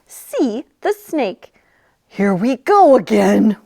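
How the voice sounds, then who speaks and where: A woman's voice: a little high, sing-song, very slow, creaky, warm tone. A woman presenting a children's library storytime, chatting and reading aloud in a playful, enthusiastic way.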